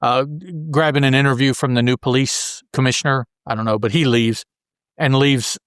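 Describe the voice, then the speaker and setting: A man's voice: mid-range voice, steady tone, moderate pace, fairly bright tone, clear, resonant tone, no echo, clean studio sound. A man hosting a podcast, recapping a comic book in a casual, colloquial way with joking asides. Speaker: podcast host.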